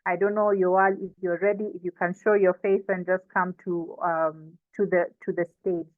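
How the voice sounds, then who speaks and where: Phone-like Kenyan woman hosting, lower-pitched voice. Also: level tone, normal speed, no echo. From coastal Kenya. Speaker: host and moderator of an online information session. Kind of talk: informal hosting, introducing panelists. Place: online video session. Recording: thin phone-like online call audio.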